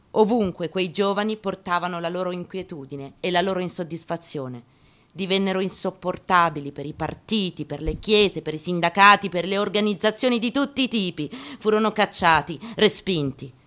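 A woman giving a dramatic literary reading, solemn and declamatory, with deliberate pauses, over guitar. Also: on the low side, fairly bright tone. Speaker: actress giving a staged reading. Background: guitar music.

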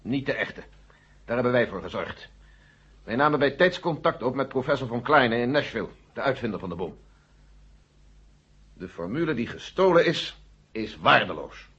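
An actor playing an earnest general who pleads insistently and urgently in a 1970 radio drama. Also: male voice, mid-range voice, lively intonation, normal speed, pauses often, a bit bright, breathy edge. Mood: grave, persuasive. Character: earnest, urgent male general defending a secret mission. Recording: dull, muffled old radio recording.

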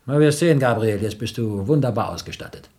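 An actor playing an older, tight-fisted, excitable uncle in a radio play, husky and grumbling with indignant outbursts. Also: male voice, medium pitch, fast, lightly acted. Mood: grudging. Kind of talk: German radio play dialogue.

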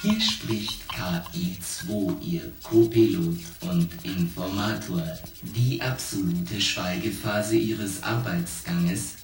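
A pedantic onboard computer for a science fiction radio play. It talks very slowly in stilted, formulaic phrases, extremely low in pitch. The sound is echoey and distant. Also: male voice. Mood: flat, pedantic. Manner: stilted, formulaic, literal-minded machine phrasing. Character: pedantic shipboard computer, male-named artificial intelligence co-pilot. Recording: echoey, distant, music behind.

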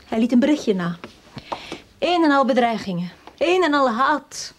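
An actress playing a frightened, guilt-ridden widow, earnest and breathy, in a radio drama. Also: female voice, high-pitched, big pitch swings, normal speed, slight background noise. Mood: troubled confession. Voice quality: breathy, husky. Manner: earnest, anxious, insistent.

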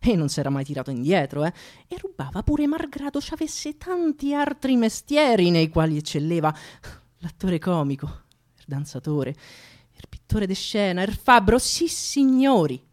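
A woman's voice: fairly low pitch, big pitch swings, normal speed. A woman playing an impassioned Roman thief with a Roman accent, delivering a theatrical mock funeral oration in a declamatory, rhetorical way. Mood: solemn, proud. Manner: declamatory, rhetorical, swinging from hushed to shouted. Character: impassioned Roman thief eulogizing a dead comrade.

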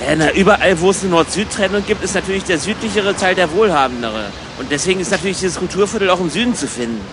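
A man's voice: high voice, lively intonation, quick pace, noisy background. A man playing an eager, practical young German emigrant in a radio play, chatty and mildly exasperated as he talks and narrates. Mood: eager, impatient.

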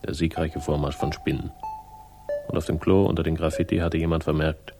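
An actor playing a world-weary male sleuth in a German radio crime drama, speaking with dry, laconic irony and a gravelly voice. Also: extremely low pitch, level tone, brisk, music in the background. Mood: wry narration. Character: sardonic, world-weary male crime sleuth telling his own story. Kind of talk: 1960s German radio crime drama, first-person narration and dialogue.

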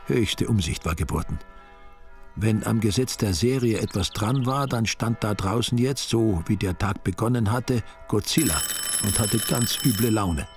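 An actor playing a wry, deadpan storyteller who narrates a radio drama with an Austrian accent. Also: male voice, fairly low pitch, moderate pace, faint music behind, natural voice. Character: wry, ironic male storyteller.

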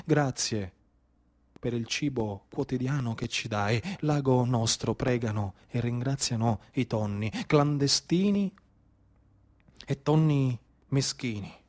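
A man with a Sicilian accent performing a dramatic storytelling monologue in a declamatory, incantatory way with deliberate, repeated phrasing. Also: mid-range voice, darkish tone. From Sicily, Italy. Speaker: stage storyteller, actor.

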